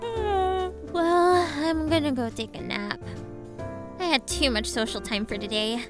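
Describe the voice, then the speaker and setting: A woman's voice: sits high, measured pace, music in the background. A woman voicing a small, reserved young girl with a hesitant manner in a fan audio drama. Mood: shy, tired. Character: short, reserved young girl, easily tired by socializing.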